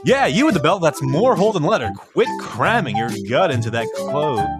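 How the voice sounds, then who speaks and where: Male podcast host for a rude comic ad read, very animated, loud music underneath. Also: a little high, normal speed. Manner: mock-insulting, crude. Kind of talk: comedic ad read and banter.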